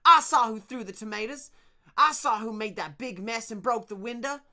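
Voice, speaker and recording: A man voicing a skinny, excitable young boy with a shrill, high voice who shrieks accusations. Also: moderate pace, full character voice. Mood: frantic.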